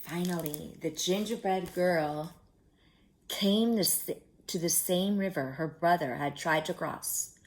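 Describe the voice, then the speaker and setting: A woman's voice: lowish voice, slow, slight background noise, low-quality recording. A woman storyteller reading a picture book aloud to young children and explaining it simply. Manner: explains pictures simply, sometimes dropping to a hush.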